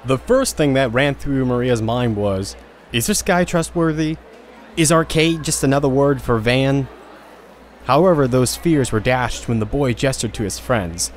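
A man's voice: mid-range voice, animated, measured pace, a little hiss or hum. A man narrating an audio drama in a casual storytelling manner.

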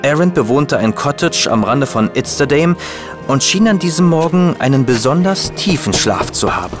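An actor playing a detective's loyal assistant and chronicler in a German audio drama. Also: male voice, mid-range voice, animated, moderate pace, over music, natural voice. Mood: narrating. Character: loyal male detective's assistant and chronicler, eager, slightly baffled.

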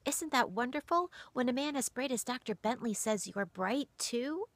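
A woman voicing a wide-eyed young girl who speaks in a hushed whisper. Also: a little high, lively intonation, normal speed, no echo, pitched above the performer's own voice. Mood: excited whisper.

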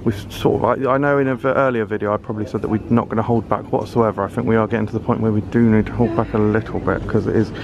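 A man's voice: fairly low pitch, fairly even intonation, very fast, hardly any pauses, highs cut off, crowd noise. A British man vlogging his shopping trip, chatting curiously about products on the shelves. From United Kingdom. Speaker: food vlogger. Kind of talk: casual running commentary while shopping. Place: large supermarket aisles, indoors.

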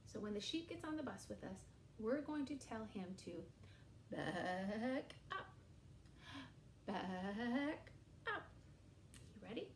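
A woman in her forties leads young children through an animal rhyme with puppets, speaking slowly and in an exaggerated sing-song way. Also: on the high side, expressive, echoey room, a little hiss or hum. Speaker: early years educator. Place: small indoor room against a bare wall.

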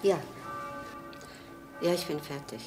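An actress playing an evasive, subdued housewife in a radio crime drama. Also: female voice, low-pitched, animated, slow, over music. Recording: noisy old radio drama.

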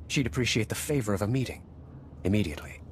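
A man plays an earnest liaison in a game. His voice sits somewhat low and his speech is formal and courteous, over faint ambience. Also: animated, fast, a little hiss or hum, natural voice. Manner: formal, polished, deferential. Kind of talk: video game cutscene acting.

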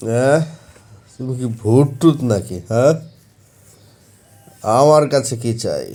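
Man acting lazy husband, medium pitch, soft dark tone, exasperated, dismissive muttering, comic audio drama. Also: animated, slow. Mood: startled, wary.

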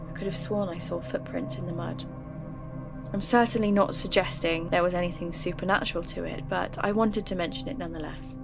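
A woman narrating her own investigation in first person for an audio drama podcast, in a measured, reflective storytelling manner. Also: fairly low pitch. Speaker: narrator and host. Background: background music.

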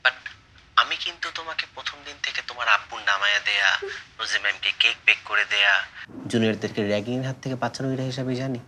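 A man's voice: medium pitch, fast talker, background noise, lightly acted. A young man with a Bangladeshi accent acting an earnest, supportive college friend who speaks persuasively in a short-film drama, mixing in English. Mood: pleading, earnest. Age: young adult. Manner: persuasive, mixes English into speech.

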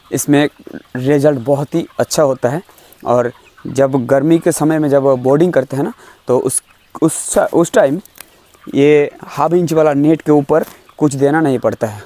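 A young man from India explaining farming to camera in an informal, halting way, often repeating phrases. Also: mid-range voice, warm tone. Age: young adult. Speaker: poultry farming vlogger. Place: large bamboo poultry shed full of chickens. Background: chickens clucking.

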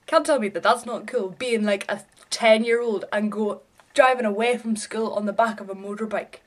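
A woman's voice: medium pitch, steady tone, moderate pace. Young woman chatting offhandedly to camera. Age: young adult. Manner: irreverent, offhand, sweary. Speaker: vlogger. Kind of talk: casual chatty vlog to camera. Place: small bedroom, indoors.

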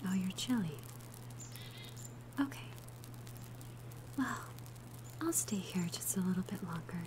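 A woman voicing a gentle, shy young female alchemist in an ASMR roleplay, softly breathy, hesitant and tender. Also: lowish voice, lively intonation, slow pace, background noise. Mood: shy, warm. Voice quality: soft, breathy whisper.

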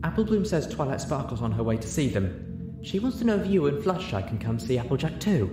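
A man voicing a young female unicorn in a story, mournful, gentle and apologetic. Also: medium pitch, sing-song, fairly quick, music in the background, voice pushed up, close to an everyday voice. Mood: subdued. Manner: regretful, gentle. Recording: roomy echo, audible noise.

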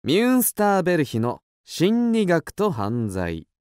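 An actor playing a cool, self-assured young detective in a radio drama, explaining things deliberately and theatrically. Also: male voice, a little high, huge pitch swings, darkish tone, smooth, no room sound, studio quality. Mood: confident, explaining. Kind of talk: Japanese radio drama acting.

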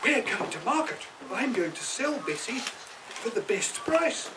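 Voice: mid-range voice, big pitch swings, a little slow. A performer voicing a naive, earnest five-year-old boy in a children's puppet show. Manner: earnest, hesitant, simple. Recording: outdoor far camera, noisy background.

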